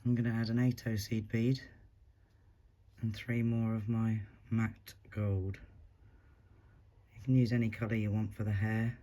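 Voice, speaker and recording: A man with a husky voice from a recent flu, calmly talking through a beading tutorial step by step while he works. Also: fairly low pitch, a little slow, long pauses. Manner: calm, counts beads aloud, talks while working. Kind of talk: step-by-step craft instruction.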